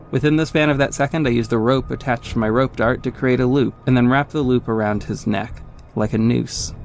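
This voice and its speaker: A man's voice: medium pitch, creaky. A man playing a confident young vigilante who narrates his own exploits in a wry, smug way in an audio drama. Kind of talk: scripted first-person audio drama narration.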